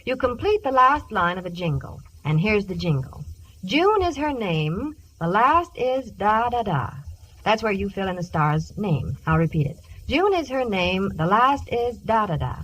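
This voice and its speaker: A woman's voice: medium pitch, sing-song, measured pace, fairly bright tone, poor audio. A woman with an American accent reading a scripted commercial as an announcer on a vintage 1950s radio broadcast.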